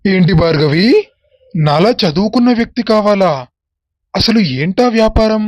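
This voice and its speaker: A man playing eager, greedy schemers in the dialogue of an animated moral story. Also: high voice, moderate pace. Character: eager, greedy men plotting shady business in a village tale.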